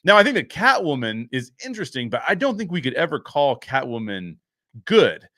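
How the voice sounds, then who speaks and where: A man's voice: a little high, sing-song, a little fast, pushed and bright, no echo, studio quality. A man hosting a pop-culture show, giving conversational, opinionated commentary on film in a reasoned way, thinking aloud with asides.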